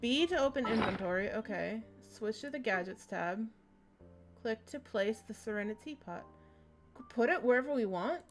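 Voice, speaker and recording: High-voiced woman streaming a game. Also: animated, moderate pace. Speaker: livestreamer playing a game. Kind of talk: casual gameplay commentary and reading aloud. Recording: game music behind voice. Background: video game music.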